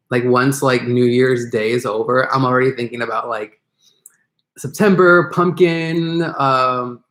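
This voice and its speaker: A man's voice: mid-range voice, a bit bright, reverberant. A man chatting casually on a live stream, reading out viewer questions.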